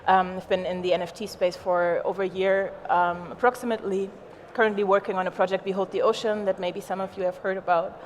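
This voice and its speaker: A woman in her thirties from Germany introducing herself as a visual artist on a panel, friendly with pauses. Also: mid-range voice, level tone, slight background noise. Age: 30s. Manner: friendly, pausing with fillers. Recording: long hall reverb, faint ambience.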